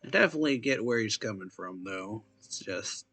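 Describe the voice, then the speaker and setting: A man giving casual, laid-back gaming commentary as a reaction streamer, halting and trailing off. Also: fairly low pitch, animated, pushed and bright.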